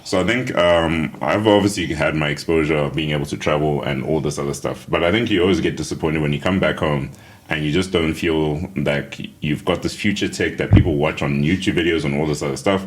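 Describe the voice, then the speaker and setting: A man in his thirties from Zambia, a tech founder answering interview questions in a relaxed way, with fillers and chuckles. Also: very deep voice, fairly quick.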